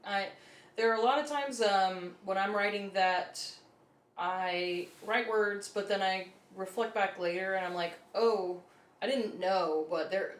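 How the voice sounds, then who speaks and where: A woman's voice: medium pitch, unhurried. Friendly-affirming woman podcast host. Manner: affirming, frequent "mm-hmm" and "yeah". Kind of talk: casual interview chat. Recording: echoey room, faint noise.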